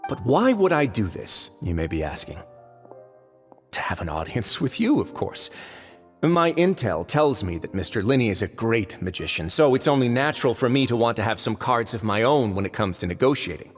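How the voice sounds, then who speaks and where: A calculating lord of a fortress in a video game, courteous and sardonic with menace underneath. His low voice moves through very lively intonation, set in long reverb with music. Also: normal speed. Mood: smug, teasing. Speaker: voice actor in a dubbed video game. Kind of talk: theatrical game cutscene dialogue.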